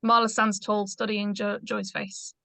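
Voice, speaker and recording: A woman's voice: mid-range voice, flat delivery, a little slow, vocal fry, bright, forceful tone, dry recording. A woman reading out the stage directions of a screenplay as scripted narration.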